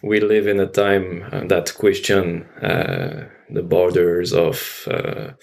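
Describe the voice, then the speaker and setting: A man with a French accent talks in a low voice with plenty of creak. As a guest in a casual conversation, he is reflective and pauses often to find his phrasing. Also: steady tone. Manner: halting, thoughtful. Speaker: guest, theater artist.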